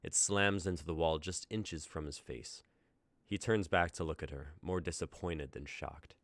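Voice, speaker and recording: A man reading stage directions aloud for a script reading in a plain, measured way. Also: extremely low pitch, a bit bright, dry recording.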